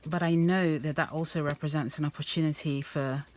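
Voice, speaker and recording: A woman rambling softly with many ums and restarts as she works on an art journal page, her voice a little croaky. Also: deep voice, fairly even intonation, fairly quick. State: slightly croaky voice. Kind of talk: casual craft-along chat.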